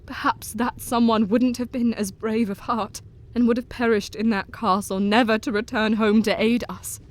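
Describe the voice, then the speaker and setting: A woman voicing a shaken young wife in a gothic audio drama, her voice sitting a little high. She talks haltingly, with a tremble under the words. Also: steady tone, close to an everyday voice. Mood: tender.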